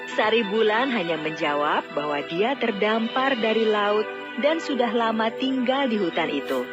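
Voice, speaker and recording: A woman narrating a scripted fairy tale as a storyteller. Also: medium pitch. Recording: dull lo-fi audio, music bed. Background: background music.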